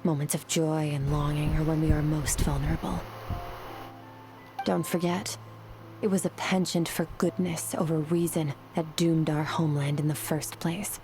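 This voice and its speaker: A woman's voice: low voice, fairly even intonation, moderate pace, lightly acted. An actress voicing a cold, stern young woman warrior ruled by reason, speaking firmly and without emotion in a game cutscene. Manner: firm, clipped, unemotional. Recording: loud music behind.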